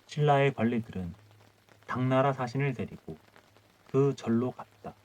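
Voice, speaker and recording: A man's voice: mid-range voice, moderate pace, a little mellow, low-quality recording. A man narrating a scripted historical folktale as an audiobook storyteller.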